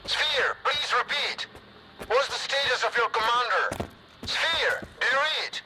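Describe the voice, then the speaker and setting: A man voicing a panicked male soldier in a video game, shouting frantic radio calls. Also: very high-pitched, expressive, slow pace, very noisy, full character voice. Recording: game audio with loud music.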